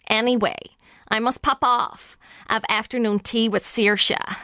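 A woman's voice: on the high side, steady tone, a little slow, bright, forceful tone, dry recording, studio quality. A woman playing a confident, outspoken female film director who guides others in a radio drama, assured and blunt. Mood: gentle, encouraging.

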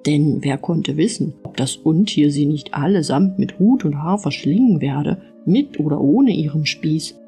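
A woman narrating an audiobook fairy tale in an expressive storytelling style. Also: low voice.

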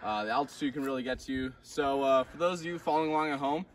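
A man's voice: mid-range voice, distant mic, a little hiss or hum. Young man hosting an outdoor hike. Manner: relaxed, joking, with filler pauses. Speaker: hiking video host. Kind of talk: casual outdoor vlog narration. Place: outdoors on a mountain trail.

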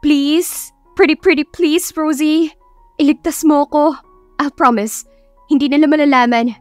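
A woman's voice: high-pitched, steady tone, measured pace, darkish tone, dry recording, faint music behind, pitched above the performer's own voice. A woman voicing an anxious, pleading twenty-five-year-old daughter, stubborn and romantic, in an emotive audio drama style. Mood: pleading, coaxing.

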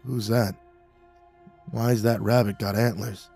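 A man's voice: fairly low pitch, level tone, gravelly, dark tone, husky, soft background music, exaggerated voice. Man doing a dazed, mumbling young goon. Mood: confused. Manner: slow, faint, mumbling. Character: big, dazed, dim young male security guard.

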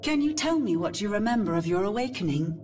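An actress playing a gentle, curious robot in a video game. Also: female voice, mid-range voice, lively intonation, fast talker, slight background noise, natural voice. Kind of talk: video game character dialogue. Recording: distant, faint game ambience.

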